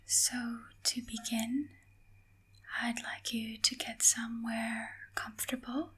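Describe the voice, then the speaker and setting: A woman softly whispering a guided ASMR meditation in a gentle, calming manner, leaving long pauses between short phrases. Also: on the high side. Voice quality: soft, breathy whisper.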